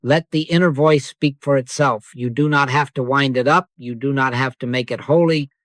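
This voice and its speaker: A man leading a slow guided meditation in the manner of a sermon, speaking in a hushed cadence full of scripture. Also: medium pitch, steady tone, dry recording. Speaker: spiritual teacher.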